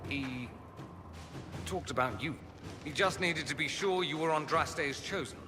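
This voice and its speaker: A man's voice: fairly high pitch, unhurried, close to an everyday voice. A man playing a witty, urbane young noble mage in a video game, speaking in a wry, articulate and theatrical way. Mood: subdued. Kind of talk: dramatic video game dialogue. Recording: long hall reverb with clear background noise.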